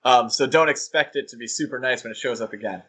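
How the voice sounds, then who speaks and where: A man's voice: mid-range voice, fast, sharp tone, breathy edge. A man co-hosting a podcast, talking casually and joking with many fillers. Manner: chatty, joking, with frequent fillers. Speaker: podcast co-host.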